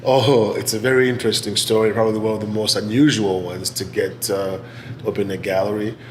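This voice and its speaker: A man in his forties with a Zimbabwean accent, giving measured, articulate answers in an interview. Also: lowish voice, fairly even intonation, a little hiss or hum. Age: 40s. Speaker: interviewee, business executive and gallery owner. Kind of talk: oral history interview answers.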